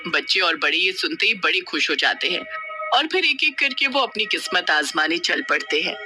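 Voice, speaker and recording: A storyteller narrating a scripted children's tale in an expressive, dramatic manner. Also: medium pitch, normal speed, music in the background.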